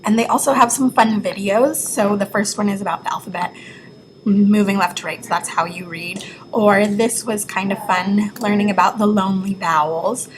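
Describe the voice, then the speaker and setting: A woman's voice: medium pitch, steady tone, normal speed. A woman giving an informal, explanatory walkthrough of an educational website as a reviewer. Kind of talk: casual screen-recorded walkthrough. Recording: clear background noise.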